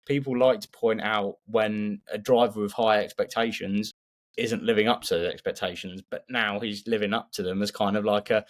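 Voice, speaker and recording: A man co-hosting a sports podcast, chatting casually and haltingly, repeating words as he thinks aloud. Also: on the low side, fairly even intonation. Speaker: podcast co-host.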